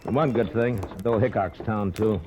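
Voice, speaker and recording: An actor playing a tough, plain-spoken American U.S. Marshal in a 1950s radio western, with folksy turns of speech. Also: male voice, lowish voice, normal speed, natural voice. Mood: matter-of-fact. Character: steady, tough frontier U.S. Marshal of the Old West. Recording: noisy old radio recording, clicks.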